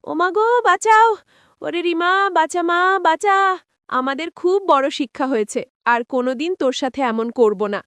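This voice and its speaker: A woman voicing a cruel, bossy village mother in a cartoon, sharp-tongued and scolding. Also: high voice, animated, normal speed, no room sound, clean studio sound, voice pushed up. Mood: panicked. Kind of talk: cartoon voice acting.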